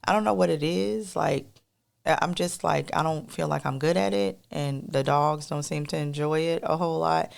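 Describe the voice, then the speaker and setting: A woman co-hosting a podcast in a casual, rambling monologue with a soft, melodic voice, talking straight to listeners as y'all. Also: deep voice, moderate pace, creaky. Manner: rambling, addresses listeners as y'all, many fillers. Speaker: podcast co-host.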